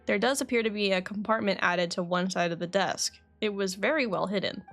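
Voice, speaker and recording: A woman's voice: mid-range voice, a little fast, a bit bright, faint music behind. A woman streaming a game, reading its dialogue aloud and adding casual commentary, correcting herself as she goes. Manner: self-correcting as she reads. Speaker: game livestreamer.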